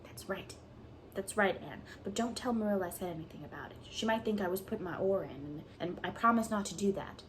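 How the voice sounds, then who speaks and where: Woman doing a shy, hushed old farmer. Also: mid-range voice, a little fast, a little hiss or hum, lightly acted, low-quality recording. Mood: coaxing. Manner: hushed, coaxing. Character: shy, gentle elderly farmer man.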